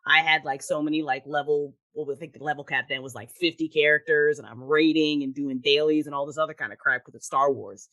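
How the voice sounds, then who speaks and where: A woman chatting casually as an interview guest, animated and enthusiastic like a devoted fan. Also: low voice, fairly quick, hardly any pauses. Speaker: podcast guest, writer and audio drama creator.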